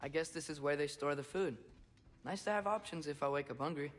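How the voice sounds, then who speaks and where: A man's voice: on the high side, a little fast, lightly acted. A man playing a curious, earnest teenage boy in a video game.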